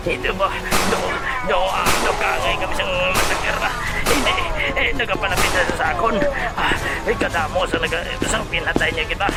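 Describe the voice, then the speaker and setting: A man playing a nervous, stammering henchman in an audio drama, with loud music behind him. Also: high voice, normal speed, hardly any pauses, close to an everyday voice, rough recording. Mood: panicked. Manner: stammering, panicky. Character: jumpy male henchman guarding a prisoner.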